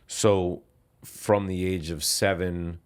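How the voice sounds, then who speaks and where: A man's voice: low-pitched, fairly even intonation, takes it slowly, smooth. A man hosting a podcast interview, attentive and encouraging, with frequent short affirmations. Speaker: podcast host. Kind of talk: interview conversation.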